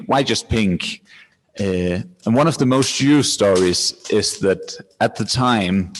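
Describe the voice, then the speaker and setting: A man with a Danish accent hosting an informal webinar, presenting to an online audience. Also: fairly low pitch. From Denmark. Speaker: webinar host, marketing manager. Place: indoors at a scout centre. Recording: echoey room, clear background noise.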